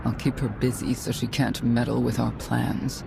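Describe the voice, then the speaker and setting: A protective warrior mother in a game drama, older and grave. Her voice is heavily creaky and terse, over faint background noise. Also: extremely low pitch, little pitch movement, moderate pace, full character voice. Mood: grave, resolved. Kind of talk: video game drama acting.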